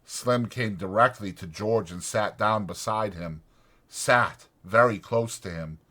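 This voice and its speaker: A man narrating an audiobook dramatically, in a measured and deliberate way. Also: fairly low pitch, pushed and bright.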